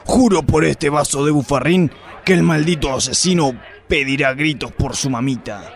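Gravelly sleazy inspector with a Rioplatense accent, blustering and vulgar, a man acting him. community radio drama. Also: a little high, normal speed. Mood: melodramatic. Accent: Rioplatense Spanish. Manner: blustering, melodramatic, vulgar outbursts. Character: crude boastful corrupt male police inspector.

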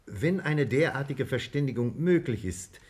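A man playing a thoughtful scientist in a 1950s German radio drama, explaining in a measured, precise, formal way. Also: medium pitch, animated, darkish tone, lightly acted. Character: thoughtful male scientist patiently translating ideas into plain terms. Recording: vintage 1950s radio play recording.

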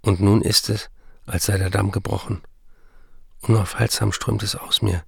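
An actor playing a restless, addicted male writer who narrates his life and letters in a literary radio drama, his voice gravelly. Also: lowish voice, little pitch movement, normal speed, fairly bright tone, no echo, studio quality, exaggerated voice. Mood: driven, urgent.

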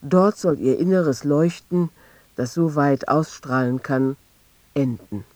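A man with a slightly high voice narrates a literary radio drama. He speaks in measured, precise phrases, recorded close and dry. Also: darkish tone, dry recording.

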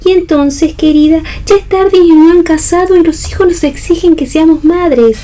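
A woman's voice: extremely high pitch, normal speed, dark tone, slight background noise. A woman reading an earnest, passionate young female part in a radio play, in a theatrical, declamatory manner. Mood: earnest pleading. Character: earnest pleading young girl, later brazen passionate mistress.

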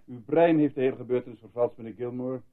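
An actor playing a controlling, evasive male doctor in a radio drama, speaking formally in a husky, patronising voice. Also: mid-range voice, lightly acted. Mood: calm, evasive. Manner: formal, patronising, measured reassurance. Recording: muffled lo-fi old radio recording.

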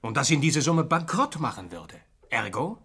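A man's voice: mid-range voice, very animated, fairly bright tone, slightly rough, heavily acted. Probing, cool-headed male investigator. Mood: confident, probing. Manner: deliberate, probing, coolly deductive. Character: shrewd male investigator confronting suspects, composed and probing. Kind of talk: German radio crime drama acting.

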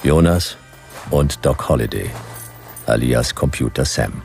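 Very deep gravelly detective narrator. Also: male voice, lively intonation, measured pace, darkish tone, faint music behind, exaggerated voice. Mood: terse, grim. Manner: terse, clipped sentences. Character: hard-boiled male detective narrating his own story. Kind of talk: noir radio play narration.

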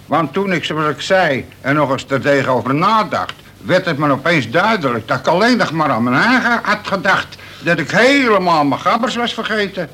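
A man's voice: on the high side, expressive, normal speed, few pauses, sharp tone, slightly rough, heavily acted. A man acting a wily, cheeky convict in a radio play, wheedling and arguing in colloquial speech with mock humility. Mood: earnest wheedling.

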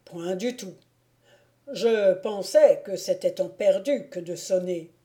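A woman's voice: mid-range voice, takes it slowly, dark tone, natural voice. A woman voicing a courtly, self-assured male lord who spins tales, in a storybook reading. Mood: boastful.

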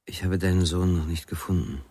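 An actor playing a weary, evasive father in a radio crime drama, measured, cryptic and laconic. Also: sits low, fairly even intonation, dark tone, breathy edge. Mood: resigned. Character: enigmatic, weary, evasive father searching for his son.

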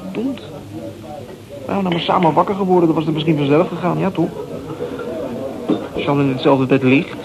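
An actor in a Dutch radio play as a defensive, insecure young man who talks haltingly in coarse, slangy language. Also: on the high side, muffled recording, close to an everyday voice. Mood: confessional, vulnerable. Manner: halting, repeating words, coarse slangy swearing. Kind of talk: naturalistic Dutch radio drama acting. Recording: radio play with crowd chatter behind.

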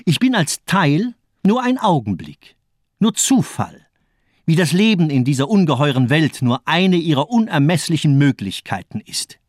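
Mocking, fanatical villain doctor, dramatically animated. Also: male voice, fairly high pitch, normal speed, no room sound, studio quality. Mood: fervent, grandiose. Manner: rhetorical, mocking, declamatory monologues. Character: cold fanatical nihilist doctor, verbose male murderer. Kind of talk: German radio crime drama acting.

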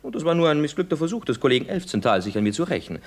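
Glib young actor with wildly swinging intonation. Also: male voice, fairly high pitch, big pitch swings. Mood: amused, brisk. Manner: theatrical, mock-earnest, swings from brisk patter to soft pleading. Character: glib, teasing young actor, smooth-talking his landlady. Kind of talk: German radio comedy acting, 1960s.

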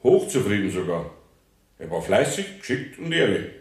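Low-voiced Bavarian carpenter in echoey courtroom. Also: male voice, big pitch swings, moderate pace. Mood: earnest, resigned. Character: Bavarian master carpenter, plain-spoken witness, dryly resigned. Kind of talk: German radio play courtroom acting. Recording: roomy 1970s radio drama studio.

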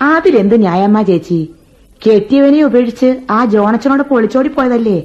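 Radio play: actress as a chatty, sharp-tongued maid, mellow tone, dull old broadcast sound. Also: female voice, a little high, fairly quick, close to an everyday voice. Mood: gossipy. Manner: gossipy, wry, colloquial. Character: gossipy village housemaid Meenakshi, sharp-tongued. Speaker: radio drama actor. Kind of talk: 1980s Indian radio play acting. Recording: dull old radio recording with faint music.

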